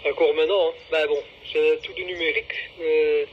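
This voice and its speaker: A man's voice: fairly high pitch, little pitch movement, a little fast. A man with a Belgian French accent chatting casually and colloquially over a two-way radio. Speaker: amateur radio hobbyist. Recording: dull narrowband radio link with noise.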